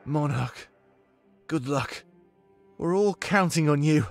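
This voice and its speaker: A heartfelt, halting beast warrior's raised voice on a fantasy game battlefield, acted out by a man, echoing as in a cavern. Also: fairly high pitch, voice pushed up. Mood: awed, hopeful. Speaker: visual novel character voice. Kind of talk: acted dialogue read in a let's play. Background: background music.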